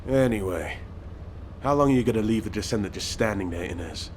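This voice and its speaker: A man's voice: lowish voice, expressive, a little fast, a little mellow, slight background noise, natural voice. A man voicing a surly, aloof soldier of few words in a video game, curt and dismissive. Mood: gruff, dismissive.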